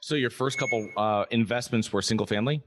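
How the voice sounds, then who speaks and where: A man's voice: mid-range voice, quick pace, noisy background. Man hosting a podcast interview. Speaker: podcast host.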